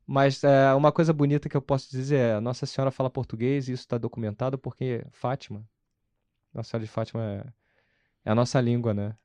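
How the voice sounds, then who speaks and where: A man with a Brazilian accent from Rio speaks conversationally at a medium pitch, in a close, dry recording. He explains his personal convictions emphatically, often posing questions and then answering them himself. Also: fairly even intonation, fast talker, a little mellow, dry recording. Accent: Brazilian, Carioca. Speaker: interview guest. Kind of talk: conversational testimony about personal faith.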